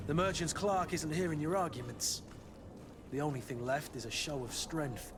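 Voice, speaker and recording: A man's voice: fairly high pitch, steady tone, moderate pace, over music, natural voice. A man playing a blunt, wry mercenary captain in a fantasy video game, with a dry way of talking. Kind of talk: scripted video game acting.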